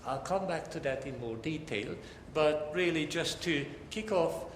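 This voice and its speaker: An older man from Hungary with a Hungarian accent gives a formal academic lecture as a professor, in measured phrases that often pause mid-sentence. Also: a little high. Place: large lecture hall, talking to an audience. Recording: echoey lecture hall with background noise.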